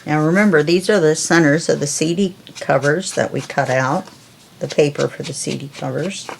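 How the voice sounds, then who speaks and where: A woman talks through a crafting project in a calm, easygoing voice while she works. Her voice sits low, with a gravelly edge. A faint hiss runs under the recording. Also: moderate pace. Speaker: crafting video host. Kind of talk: casual crafting tutorial chat.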